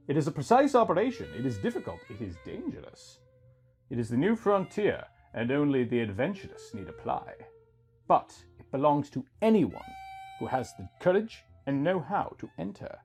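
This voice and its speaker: A man's voice: mid-range voice, a little mellow. A man narrating a scripted first-person story. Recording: music under the voice. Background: music.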